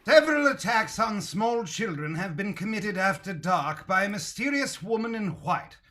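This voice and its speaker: An actor in a radio play performing as an emphatic, declamatory narrator and keeper. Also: male voice, sits high, lively intonation, moderate pace, sharp tone. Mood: ominous reporting.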